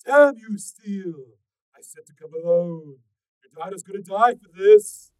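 A man voicing an unstable male kidnapper who screams and rants in a drawn-out, raised voice. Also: high-pitched, animated, a little mellow, no echo, pitched above the performer's own voice, poor audio. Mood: furious.

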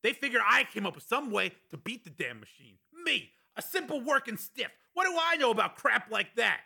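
Big angry blue collar loudmouth, super high strained gravelly voice, foul-mouthed audiobook character. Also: male voice, expressive, normal speed, full character voice. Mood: aggrieved, bitter. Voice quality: raspy, strained shouting. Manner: blustering, coarse, slangy. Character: big, furious working-class man, hot-tempered and foul-mouthed.

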